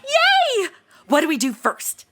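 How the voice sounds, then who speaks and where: A woman's voice: very high voice, wildly swooping pitch, slow pace, very noisy, heavily acted. A woman playing a bubbly, ditzy young insurance seller in a comedy audio drama, with flippant, slangy Valley-girl chatter. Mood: eager, pleading.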